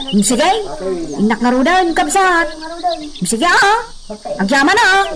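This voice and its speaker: A woman with an Ilocano accent playing a chatty, inquisitive village woman in a radio drama, singsong and exclamatory. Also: sits high. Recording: distant mic, clearly noisy background.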